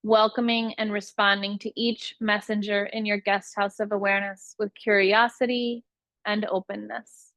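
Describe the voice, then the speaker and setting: A woman teaching a guided yoga nidra meditation, giving soothing, unhurried instructions with long pauses between phrases. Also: mid-range voice, level tone, a bit bright, no room sound.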